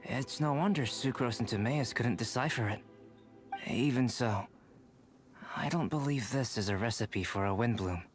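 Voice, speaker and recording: A man voicing a calm, courteous young scholar-alchemist with a measured, formal manner in an anime video game. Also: medium pitch, natural voice. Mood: thoughtful. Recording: faint music behind the voice.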